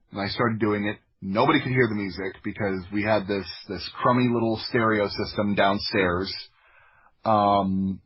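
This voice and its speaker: A man's voice: lowish voice, husky, highs cut off. An American man casually telling personal anecdotes in a wry, self-deprecating way. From Florida, United States. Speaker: podcast guest, storyteller.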